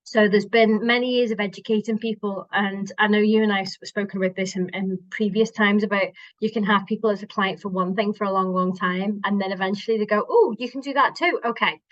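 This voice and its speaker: Liverpool-accented woman, middle-aged body worker, chatty and mid-pitched, lo-fi dry recording. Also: steady tone, fairly quick, hardly any pauses, dry recording. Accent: Liverpool, softened by years in Scotland. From Liverpool, England. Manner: chatty, warm, restarts sentences often. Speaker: guest, somatic body worker. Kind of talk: casual podcast chat. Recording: dry, dull lo-fi sound.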